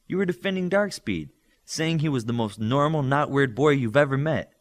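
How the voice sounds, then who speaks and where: Male reader as bossy young girl student, audiobook character: raised pitch, brisk, insistent, teasing wit. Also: lively intonation, lightly acted.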